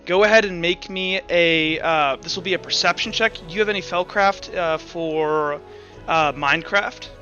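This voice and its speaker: Bright-voiced man running a roleplay game. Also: sits high, normal speed, muffled recording, soft background music. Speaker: game master. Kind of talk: narrating a tabletop roleplay game.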